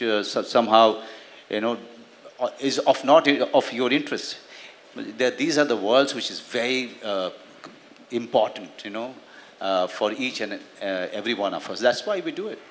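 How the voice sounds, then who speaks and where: Man, middle-aged, press conference, halting answers, long hall reverb. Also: mid-range voice, a bit bright. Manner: halting, many fillers and restarts. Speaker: actor on a press panel. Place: large hall on a stage. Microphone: handheld mic.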